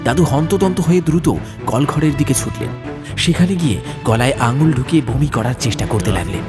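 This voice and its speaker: A man's voice: medium pitch, a little fast, over music. A man narrating a horror audiobook in a dramatic, suspenseful, theatrical manner.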